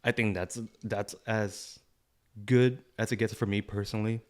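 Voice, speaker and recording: Low-voiced young Californian man chatting. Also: steady tone, moderate pace. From San Bruno, California, US. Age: late 20s. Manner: slangy, loose, searching for words. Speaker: podcast co-host. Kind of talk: casual chat.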